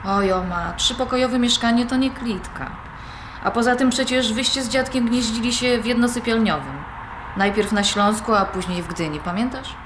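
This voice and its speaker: A woman's voice: fairly high pitch, normal speed, lightly acted. A young woman playing an independent-minded granddaughter, conversational and questioning, in audio drama dialogue. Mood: protesting. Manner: conversational, questioning, mildly protesting. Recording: roomy recording with background noise.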